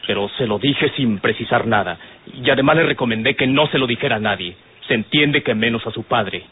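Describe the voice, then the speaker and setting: A man's voice: mid-range voice, fairly quick, lightly acted. An actor playing an earnest, respectful young man with a Mexican accent, explaining himself politely and carefully in an old radio drama. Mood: earnest, defensive. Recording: noisy, narrow-band old radio recording.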